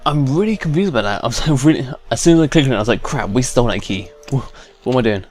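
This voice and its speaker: A man's voice: medium pitch, animated, rapid-fire, darkish tone, faint music behind. A man with a British accent giving casual commentary while playing a video game. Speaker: gaming video host. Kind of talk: casual let's play commentary.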